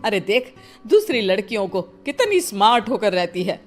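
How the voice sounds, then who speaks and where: A woman's voice: medium pitch, big pitch swings, normal speed, faint music behind, lightly acted. A woman voicing a protective elderly mother-in-law in a cartoon story, motherly, insistent and admonishing. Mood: coaxing.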